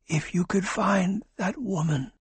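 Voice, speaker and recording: Brief: a man playing a frail dying man pleading in a breathy whisper. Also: sits high, a little slow, dry recording, voice pushed up, exaggerated voice.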